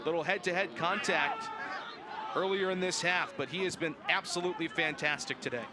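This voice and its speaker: A man's voice: on the high side, a little fast, background noise. A man in his early twenties announcing live sports with a polished, emphatic broadcast delivery. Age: early 20s. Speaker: sports play-by-play announcer and host. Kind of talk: live sports play-by-play and show openings.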